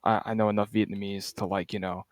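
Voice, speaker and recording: A young man from the US chatting casually over voice chat, soft-spoken and hesitant, with lots of fillers. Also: on the low side, little pitch movement, fast, no room sound, studio quality. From United States. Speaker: group chat member.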